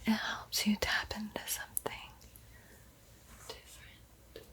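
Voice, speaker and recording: A woman playing a soothing but secretly hungry vampire art teacher in a breathy, coaxing whisper for an ASMR roleplay. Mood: coaxing, sly. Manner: slow, hushed, coaxing, hesitant pauses.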